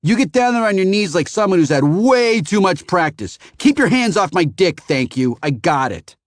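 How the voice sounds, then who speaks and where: A man voicing a rude, foul-mouthed adult man in cowboy boots who shouts abuse in a sneering manner. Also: high voice, very animated, moderate pace, no room sound, clean studio sound, voice pushed up. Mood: disgusted.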